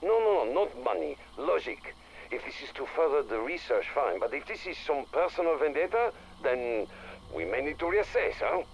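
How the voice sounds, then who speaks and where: A sardonic, threatening underworld enforcer in a game audio log. The voice is gravelly and swings freely up and down as it needles the listener. There is light hiss on the recording. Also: male voice, a little high, big pitch swings, moderate pace, dull audio. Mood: wary, indignant. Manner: taunting, tags questions with "huh". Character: menacing, sardonic crime boss and hired enforcer.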